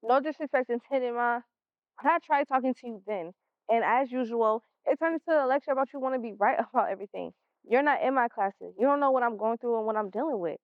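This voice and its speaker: A woman's voice: a little high, fast, no echo, phone-quality sound, natural voice. A woman with an American accent playing a defiant, sullen sixteen-year-old daughter in a stage play, blunt and sulky.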